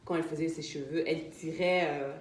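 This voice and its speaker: Hairdresser from eastern Congo, low voice, lively and laughing, echoey salon. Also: female voice, a little fast, a little hiss or hum. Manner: lively, laughs often, explains steps. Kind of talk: casual chat while working. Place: hair salon, indoors.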